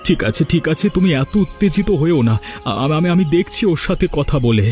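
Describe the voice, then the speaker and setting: A man's voice: a little high, expressive, a little fast, a little mellow, faint music behind, lightly acted. A man playing an earnest, protective married son in a melodramatic village cartoon, with a pleading, reproachful manner. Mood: puzzled, protesting. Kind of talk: melodramatic cartoon family comedy acting.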